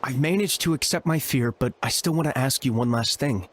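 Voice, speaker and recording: Man playing an earnest young adventurer, mid-pitched voice. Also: a little fast, natural voice. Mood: anxious, sincere. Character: earnest young male adventurer, anxious but resolute. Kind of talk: video game voice acting.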